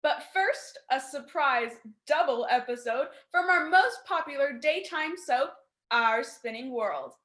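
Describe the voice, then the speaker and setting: Radio play announcer performed by a woman: high, crisp-edged, dramatic scripted delivery, roomy echo. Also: animated, unhurried, a bit bright. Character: female radio soap-opera announcer, dramatic, teasing.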